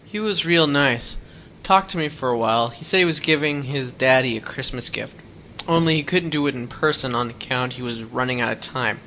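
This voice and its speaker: Teen runaway boy, guarded but earnest, bright voice, faint hiss behind. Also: mid-range voice, normal speed. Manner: plain-spoken, rambling storyteller with folksy words. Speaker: hitchhiking runaway. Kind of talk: radio drama acting.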